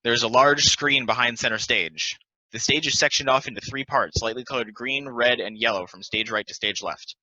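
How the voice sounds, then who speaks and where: A man narrating stage directions at a play table read in a plain, deliberate, announcing manner. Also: mid-range voice, bright, forceful tone, dry recording, highs cut off.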